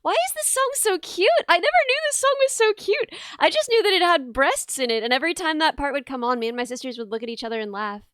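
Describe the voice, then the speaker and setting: A young woman streamer chatting casually, hoarse and losing her voice, rambling and giggling at herself. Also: sits high, lively intonation, fast, few pauses, fairly bright tone, no room sound, studio quality. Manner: rambling, giggly, self-mocking. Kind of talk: casual chat with live chat. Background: music playing.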